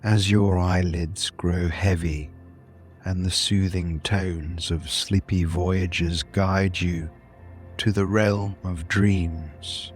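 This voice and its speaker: Very slow, low-voiced man telling sleep stories. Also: fairly even intonation, soft background music. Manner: soothing, deliberate, with long pauses between short phrases. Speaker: host and narrator of a sleep story podcast. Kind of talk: soothing bedtime story narration, scripted.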